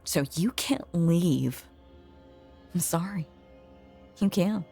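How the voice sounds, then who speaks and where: A woman voicing a lonely, possessive young ghost in an intimate audio roleplay, speaking in a hushed, halting, breathy voice that is tender and sweetly sinister. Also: on the low side, lively intonation, faint music behind, full character voice. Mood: sorrowful, possessive. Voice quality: breathy, often slipping into whisper.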